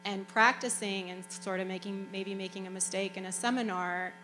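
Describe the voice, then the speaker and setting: A woman's voice: mid-range voice, steady tone, normal speed, pushed and bright, a little hiss or hum. Chatty woman on a student panel. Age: young adult. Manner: conversational, many "you know" and "right". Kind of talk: panel talk.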